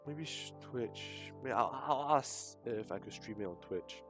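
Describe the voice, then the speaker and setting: Male game streamer, casual livestream chat, mid-pitched, hesitant mumbled asides, game music behind him. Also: expressive, measured pace. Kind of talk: casual livestream chat over a visual novel.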